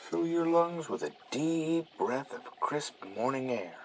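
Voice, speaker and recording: A man playing a mellow, unhurried radio DJ over loud ambience. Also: on the high side, lively intonation, poor audio. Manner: soothing, unhurried, hushed-morning delivery. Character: mellow male late-night ambient radio DJ. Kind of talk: calm scripted radio DJ patter. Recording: loud ambient noise behind the voice.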